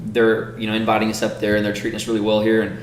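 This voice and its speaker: A man speaks in a fairly low voice as a guest musician. He chats casually and easily, sounding upbeat, in a roomy space with a little noise. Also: monotone, very fast. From Texas. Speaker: guest singer-songwriter. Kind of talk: casual chat.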